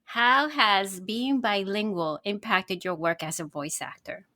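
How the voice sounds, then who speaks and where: A woman co-hosting a podcast, asking questions casually and reacting briefly and warmly. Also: medium pitch, unhurried, dry recording, studio quality. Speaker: podcast co-host. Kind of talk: casual interview chat.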